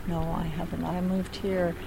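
A woman's voice: lowish voice, little pitch movement, moderate pace, creaky, background noise. An older woman from Canada, chatting in a street interview on an outdoor beach, exclaiming and restarting her sentences. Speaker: interviewee, local dog walker.

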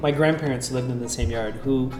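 A Canadian man whose first language is French, from Southwestern Ontario, calmly recounting his upbringing as an interview guest. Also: medium pitch. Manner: measured, thoughtful, with occasional hesitations and restarts. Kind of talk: reflective conversational storytelling. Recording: echoey room with faint guitar music. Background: faint guitar music.

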